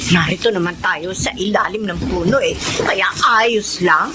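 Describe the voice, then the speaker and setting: An actress playing a carefree, greedy, coaxing mistress in a Filipino radio drama. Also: female voice, fairly low pitch, normal speed, heavy background noise, lightly acted. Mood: coaxing, gleeful.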